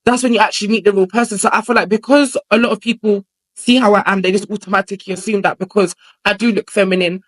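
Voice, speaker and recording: A man's voice: high-pitched, steady tone, quick pace. A young man with a feminine voice, talking at length as an interview guest in a casual, rambling way. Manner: chatty, rambling, explains at length with filler phrases.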